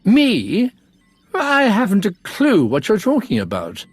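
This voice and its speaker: A man's voice: high voice, wildly swooping pitch, takes it slowly, slightly rough, faint music behind, heavily acted. A man playing a fussy, bookish archaeologist full of wonder in a video game, with precise, theatrical delivery. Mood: alarmed.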